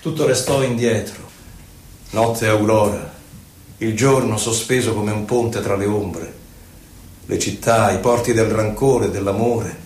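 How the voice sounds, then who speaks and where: A man gives a solemn, theatrical poetry recital, declaiming in short phrases with pauses between them. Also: on the low side, a little mellow. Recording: echoey, distant, noisy live radio broadcast.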